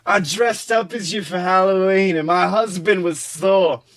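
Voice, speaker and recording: Guy putting on a drunk twenty-something fanboy, slow slurry drawl, voice pushed up high. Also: a bit bright. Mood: drunk, fawning. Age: 20s. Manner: drawn-out, stoned-sounding delivery. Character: drunk, slurring tall male fan in his twenties.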